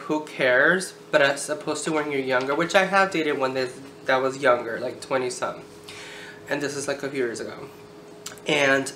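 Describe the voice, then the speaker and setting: A woman's voice: sits low, fairly bright tone. A thirty-year-old woman chatting casually to the camera in a candid, informal, sometimes sweary way. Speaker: video blogger. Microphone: camera on a tripod. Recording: roomy echo, background noise.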